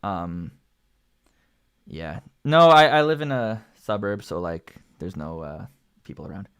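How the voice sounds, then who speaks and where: Low-voiced Canadian man rambling casually. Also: lively intonation, measured pace, a bit bright, clean tone. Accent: Canadian English. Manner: rambling and filler-heavy, lots of likes and ums. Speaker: singer and channel host. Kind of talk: casual livestream commentary.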